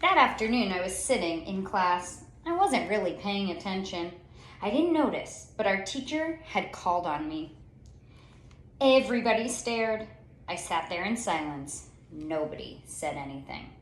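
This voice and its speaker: A woman reading a children's story aloud in an expressive, theatrical way, with dramatic pauses and drawn-out words. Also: mid-range voice. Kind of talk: expressive picture-book storytelling. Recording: roomy, echoey sound.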